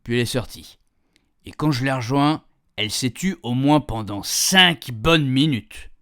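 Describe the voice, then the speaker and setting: A man's voice: mid-range voice, a little slow, sharp tone, dry recording, studio quality. A man playing a chatty older husband who tells his camping memories in colloquial French-accented speech for a radio fiction, savouring words and grumbling in asides. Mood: grumbling, exasperated. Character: chatty, grumbling, nostalgic married man, an amateur camper. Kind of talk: first-person storytelling in a radio fiction podcast.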